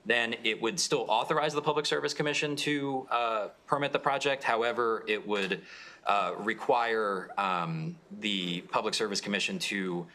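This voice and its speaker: A young man, a legislator, explaining his bill to a committee in a halting way with many fillers. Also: lowish voice, sharp tone. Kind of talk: testimony at a committee hearing.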